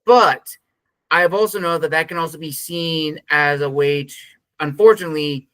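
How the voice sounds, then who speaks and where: A man's voice: on the high side, normal speed, fairly bright tone, no echo, low-quality recording. A man hosting an interview podcast, asking earnest, rambling questions full of fillers. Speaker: podcast host interviewing a narrator.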